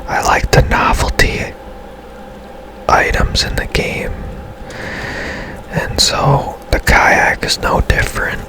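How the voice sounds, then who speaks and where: A man speaks entirely in a breathy, unvoiced whisper. He hosts ASMR gameplay with casual, halting commentary, taking his time at a very slow, unhurried pace. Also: sharp tone, low-quality recording. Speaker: gaming channel host.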